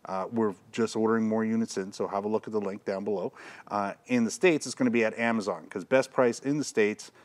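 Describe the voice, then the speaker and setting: A man's voice: fairly low pitch, fairly even intonation, a little fast. A middle-aged man giving a casual, plainspoken product demonstration. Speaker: product reviewer and retailer. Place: cluttered audio gear shop, indoors. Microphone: lavalier on shirt, condenser beside camera.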